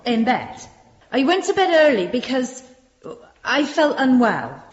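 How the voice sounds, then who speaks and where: A nervous servant in a Victorian radio drama. Her voice is fairly high and very changeable in pitch, meek and earnest toward her betters. The sound is roomy and a little dull. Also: big pitch swings, unhurried. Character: nervous, deferential Victorian servant woman testifying, a cook. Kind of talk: British radio drama acting. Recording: muffled, echoey room recording.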